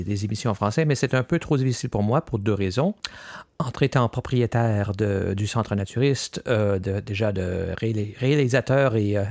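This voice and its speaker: A man's voice: lowish voice, a little mellow, dry recording, clean studio sound. A man hosting a podcast, hesitating often with fillers and restarts, his first language French though he now lives mostly in English. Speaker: podcast host. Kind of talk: scripted podcast introduction.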